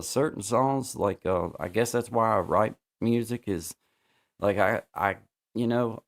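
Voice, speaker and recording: A man hosting a livestream, chatting casually and rambling, often repeating himself and filling pauses. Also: lowish voice. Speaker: livestream host.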